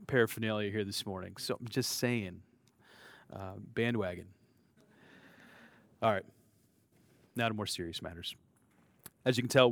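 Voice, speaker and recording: An American man preaches a sermon in a loose, conversational way, joking at his own expense and stumbling over restarts and fillers. His voice sits at an ordinary middle pitch. The sound is dry and close, with no room echo. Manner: conversational, self-deprecating, frequent fillers and restarts. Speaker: pastor.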